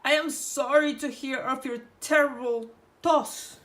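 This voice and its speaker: A woman's voice: high-pitched, fairly even intonation, measured pace, a bit bright. A woman voicing a mean, sly male wolf for a children's storybook, mock-sweet and menacing. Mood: fake sweet.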